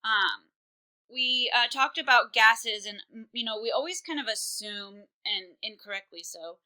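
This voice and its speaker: A woman's voice: fairly high pitch, lively intonation, unhurried, pushed and bright, poor audio. Chatty woman teaching chemistry. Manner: casual, chatty, many fillers. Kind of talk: recorded online lesson.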